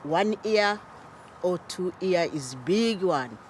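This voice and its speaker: A woman's voice: on the low side, animated, slow pace, slight background noise. A woman farmer from Burundi. She speaks in brief, simple broken English and mixes in Swahili. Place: outdoors on a farm.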